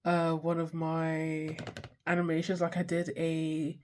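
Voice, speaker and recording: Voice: medium pitch, monotone, slow pace, slight background noise. Congested young vlogger, stuffy nose. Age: 20s. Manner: swears freely, self-deprecating, loses track mid-sentence. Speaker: art vlogger. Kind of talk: casual rambling chat.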